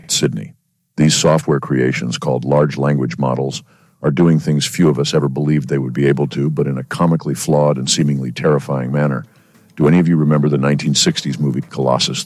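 A man's voice: rumbling low, a little mellow, husky, no echo. A male radio co-host with a stuffy cold, presenting a scripted segment in a wry, dramatic way.

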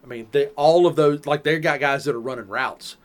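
A man's voice: on the high side, brisk. Rambling Southern-accented man chatting. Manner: rambling, explains at length with restarts. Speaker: podcast co-host. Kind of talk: casual chat.

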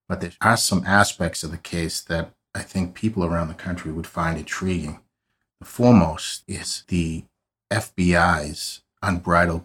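A man in his forties with a Boston accent, hosting a true crime podcast in a dry, matter-of-fact way with wry asides. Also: low-pitched. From South Boston, USA. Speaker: podcast host, private investigator. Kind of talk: scripted podcast narration.

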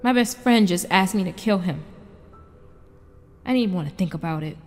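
A woman's voice: lowish voice, lively intonation, a little fast, a little mellow, soft background music. A woman playing an anguished wife trapped in frozen time, in an emotional audio drama with narration and dialogue. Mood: somber reflective.

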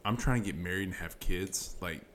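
Man in his twenties, low voice, laid-back storyteller, laughs often, faint background hiss. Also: fairly quick, a bit bright. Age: 20s. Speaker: podcast co-host. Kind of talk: casual chat.

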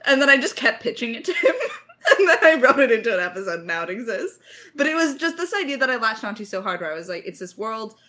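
Female writer in a relaxed Q&A; animated, bright delivery with dramatic emphasis. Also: a little high, fast talker. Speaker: lead writer of an audio drama. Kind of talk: casual creator Q&A chat.

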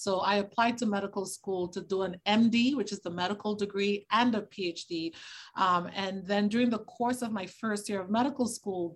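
A woman answers interview questions as a doctor. Her mid-range voice is eager and explanatory, and she laughs easily. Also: medium pitch. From Tobago, Trinidad and Tobago. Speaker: doctor, virologist and fertility specialist guest.

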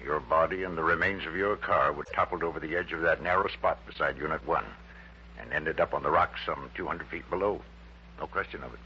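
A man's voice: very deep voice, a little fast, a little hiss or hum. An actor playing a proud, grandiose man running a secret project in a 1960s radio drama, speaking formally and with pompous emphasis. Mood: grave. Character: proud, grandiose male head of a rocket-fuel project. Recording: dull, humming early-1960s radio recording.

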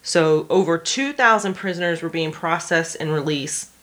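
A woman's voice: sits low, moderate pace, a bit bright. A woman co-hosting a podcast, telling history in an informal, conversational way and explaining it step by step. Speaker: podcast co-host narrating history. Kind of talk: conversational true history storytelling.

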